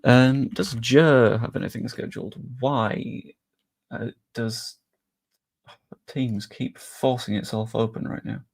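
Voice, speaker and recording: English guy, young, relaxed streamer chatter, slangy and casual, gravelly edge to the voice. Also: mid-range voice, slow pace. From England. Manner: chatty and easygoing, with frequent fillers and British slang. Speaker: live streamer. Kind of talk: casual live stream chat, reading and answering viewer questions.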